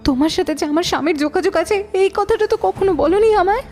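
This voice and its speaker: An actress playing a wronged, indignant married sister in an audio drama, accusing and insistent. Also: female voice, very high voice, quick pace, darkish tone, soft background music. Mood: hurt, resolute. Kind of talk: audio story drama acting.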